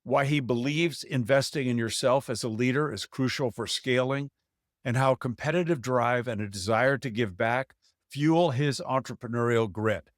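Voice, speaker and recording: Man, podcast host, polished scripted intro, casual chat, medium pitch, dry recording. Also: normal speed, dry recording.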